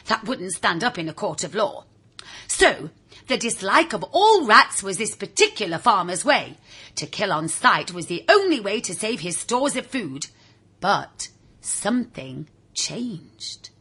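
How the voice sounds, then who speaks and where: Woman reading a kids story, really swoopy and dramatic, husky voice, talks right to the listener. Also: medium pitch, bright, forceful tone. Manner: theatrical, confiding, addresses the listener directly. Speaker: audiobook reader. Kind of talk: animated scripted children's story narration.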